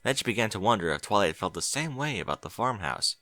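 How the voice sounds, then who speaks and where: Guy reading an audiobook, lowish bright voice, measured but expressive, really dry close sound. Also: no echo. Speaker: audiobook narrator. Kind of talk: scripted story narration.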